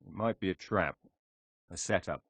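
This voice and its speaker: A man's voice: low voice, moderate pace, creaky, fairly bright tone, husky, no echo, heavily acted. A man playing a suave secret agent in a video game cutscene, with clipped, cool, understated delivery. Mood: cool, alert.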